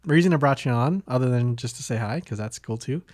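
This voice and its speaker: A man's voice: mid-range voice, expressive, fairly quick, a little mellow, no room sound, clean studio sound. A man hosting a podcast in casual conversation, hesitant and meandering, often trailing off between thoughts. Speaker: podcast host. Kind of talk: casual chat.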